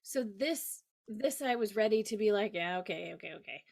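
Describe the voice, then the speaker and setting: A woman chatting casually as a panelist, expressive and emphatic, acting out little quoted reactions. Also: mid-range voice, moderate pace, gravelly. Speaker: podcast panelist. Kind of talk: casual chat.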